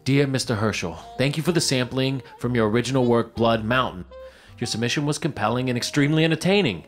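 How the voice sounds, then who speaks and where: An actor playing a dutiful, put-upon young screenwriter in a radio drama, terse and dryly resigned. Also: male voice, mid-range voice, fast, soft background music, close to an everyday voice. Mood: reading formally.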